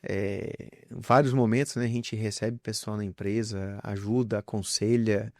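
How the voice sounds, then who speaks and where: A man's voice: fairly low pitch, fairly even intonation, unhurried, a little mellow, no echo. Earnest man talking in a dry room. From southern Brazil. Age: adult with young children. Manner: earnest, reflective, many restarts. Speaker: business founder, guest. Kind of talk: casual interview conversation.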